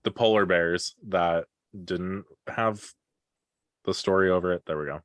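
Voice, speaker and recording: A man hosting a casual podcast, leading the talk in a relaxed, slightly halting way. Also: sits low, no echo. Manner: leads the list, relaxed and a little halting. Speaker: podcast host. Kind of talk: casual chat.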